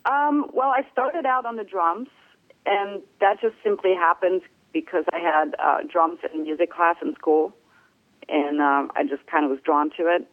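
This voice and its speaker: Relaxed woman musician, dry close sound. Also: on the low side, normal speed, dry recording, narrow, tinny audio. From Germany. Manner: relaxed, hesitant, many fillers. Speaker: bass player, interview guest. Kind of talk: casual phone interview.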